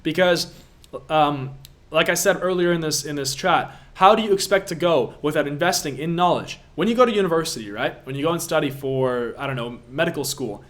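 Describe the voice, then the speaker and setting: A man's voice: on the high side, a little fast, fairly bright tone. A young man from Latvia, an online business coach answering viewer questions on a live stream, emphatic and pushy, repeating himself for effect.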